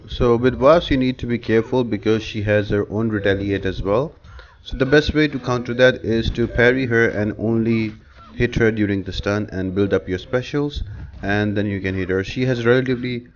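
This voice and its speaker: A man's voice: lowish voice, normal speed. A man giving a casual, step-by-step game walkthrough as a guide host, explaining tactics in an instructive way. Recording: dull lo-fi mic with clear noise.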